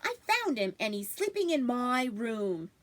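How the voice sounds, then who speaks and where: A woman voicing an excitable young boy with a whiny, pleading, emphatic manner in a storybook reading. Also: fairly high pitch, a little slow. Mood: insistent.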